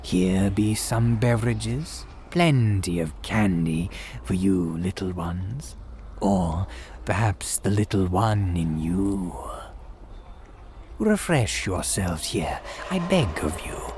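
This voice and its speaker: A man's voice: deep voice, expressive, takes it slowly, darkish tone, slight background noise. A man playing a sinister, courteous graveyard priest who hosts in formal, archaic, theatrical diction, in the style of a gothic radio drama. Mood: eerie hospitality. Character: sinister, courteous old-fashioned graveyard priest guiding visitors. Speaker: host.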